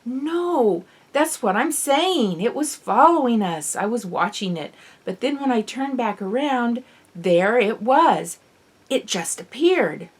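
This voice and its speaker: A woman's voice: a little high, expressive, slow pace, slightly rough, pitched above the performer's own voice, heavily acted. A desperate, stubborn girl of twelve, acted out by a woman for an audiobook. Her voice is raised and emphatic, insisting on what she saw. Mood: insistent. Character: desperate, stubborn twelve-year-old girl, eyewitness to a wreck.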